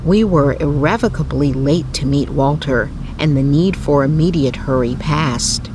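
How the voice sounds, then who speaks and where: Woman reading a bedtime story, real slow n soothing, measured, clear noise in the back. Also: low-pitched. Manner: measured, literary read-aloud delivery. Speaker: narrator.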